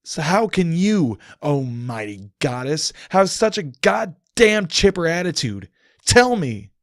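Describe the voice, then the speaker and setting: A man performs a bitter, grief-stricken immortal man in a dramatic audiobook. The voice is pushed high and loud, roaring with anguish, with some gravel and a dry, close sound. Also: very animated, slow pace, no room sound, exaggerated voice. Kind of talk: dramatic audiobook character acting.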